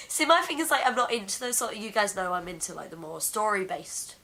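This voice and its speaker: A young Englishwoman with a deep voice, hoarse from being ill, rambling through a casual vlog chat at home on a camera mic. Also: normal speed, fairly bright tone. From England. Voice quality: deep for a woman. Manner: rambling, chatty, laughs often. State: hoarse from illness. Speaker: vlogger. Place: home room.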